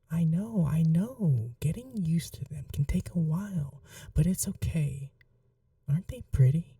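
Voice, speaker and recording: A performer voicing a sweet, innocent young vampire prince, a doting younger brother, in breathy, earnest ASMR roleplay. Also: medium pitch, warm tone, no room sound, distant mic. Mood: innocent, concerned. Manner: breathy, whispery, earnest, affectionate.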